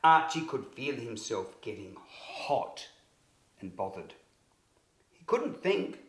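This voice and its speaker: A man reads a children's story in a medium-pitched voice, taking it very slowly. His delivery is expressive and deliberate, with emphasis meant for young listeners. The recording is roomy and echoing. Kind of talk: scripted children's story narration.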